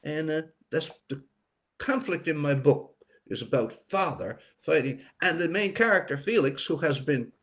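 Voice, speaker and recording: Higher-voiced older man, reflective author. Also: animated, moderate pace. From Newfoundland, Canada. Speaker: author, interview guest. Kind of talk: casual interview talk about writing.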